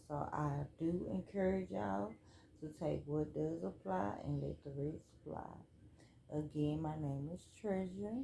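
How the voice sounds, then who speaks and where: A woman chatting casually through a spiritual card reading at home, relaxed and talkative. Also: deep voice, slow pace, gravelly, slight background noise. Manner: relaxed, chatty, reads cards aloud. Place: home room.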